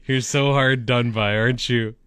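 A man's voice: mid-range voice, noisy background. A middle-aged man co-hosting a morning radio show, teasing his partner sarcastically and laughing often. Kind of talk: casual joking banter. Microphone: studio microphone.

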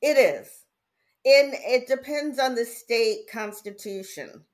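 Articulate middle-aged woman judge. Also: a little high. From Indiana, raised in Connecticut. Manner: articulate, measured, chatty with laughs. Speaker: judge, guest. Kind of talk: casual interview, storytelling.

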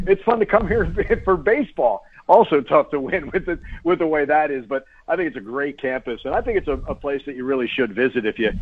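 A man's voice: a little high, slight background noise. A man speaking over a phone line as a guest sports announcer, giving casual, chatty and emphatic analysis in a radio interview. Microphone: phone call.

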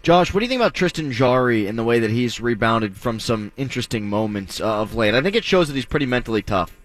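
A man's voice: mid-range voice, lively intonation, fast talker. A man hosting a sports talk radio show, joking and wry in his delivery.

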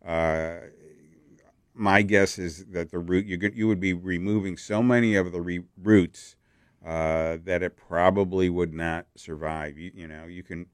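A deep, low-pitched man with a Wisconsin accent gives casual gardening advice. He stops and restarts often and repeats words as he thinks aloud. The sound is dry and close. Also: fairly even intonation, measured pace, smooth, no echo. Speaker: garden show co-host. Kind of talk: casual radio advice chat.

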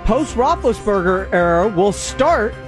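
Relaxed male sports radio co-host. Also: high-pitched, a little slow, music in the background. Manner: relaxed, reads news updates. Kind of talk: casual sports radio talk. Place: radio studio.

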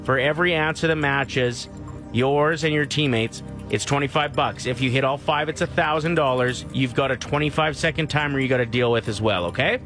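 A man's voice: medium pitch, a little fast, fairly bright tone, faint music behind. A man hosting a morning radio show, bantering with his co-host and explaining a call-in game.

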